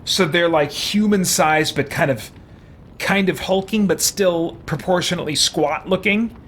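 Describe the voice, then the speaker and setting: A man's voice: sits high, a little slow, a bit bright, slight background noise. A man hosting a gaming video with casual commentary, thinking aloud as he talks to himself.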